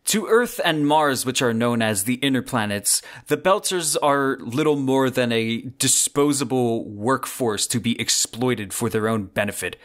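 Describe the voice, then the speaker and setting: A man hosting a podcast, giving a scripted explanation with earnest, deliberate phrasing and occasional conversational asides. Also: medium pitch, animated, a bit bright. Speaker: podcast host.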